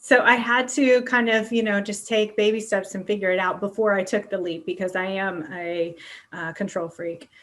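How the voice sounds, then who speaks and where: A woman's voice: mid-range voice, steady tone, normal speed, a bit bright, slight background noise. A middle-aged woman hosting a podcast, chatting informally and agreeably in a casual interview. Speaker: podcast host interviewing a guest.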